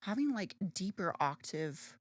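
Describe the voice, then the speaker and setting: Brash woman w/ a deep alto voice, super animated, swears a lot, dry close mic. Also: measured pace, dry recording. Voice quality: deeper alto voice. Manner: brash, joking, swears freely; animated, lively pitch. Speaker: podcast co-host. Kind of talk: casual chat.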